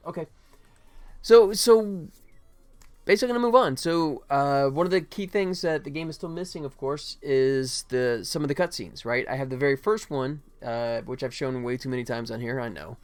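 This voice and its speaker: A man hosting a casual game-development livestream, talking informally and thinking aloud as he works. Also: mid-range voice. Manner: informal, conversational, thinking aloud while working.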